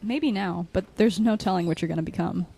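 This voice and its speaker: A woman acting a caring, direct young student friend in a naturalistic audio drama, encouraging and gently insistent. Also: mid-range voice, a little fast, slightly rough.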